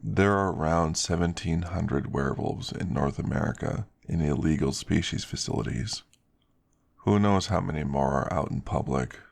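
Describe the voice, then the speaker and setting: A man's voice: very deep voice, moderate pace, gravelly, pitched below the performer's own voice, full character voice. A man voicing an earnest male werewolf in an ASMR story. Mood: thoughtful, earnest. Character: earnest male werewolf explaining his resort's work. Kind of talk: ASMR story character dialogue.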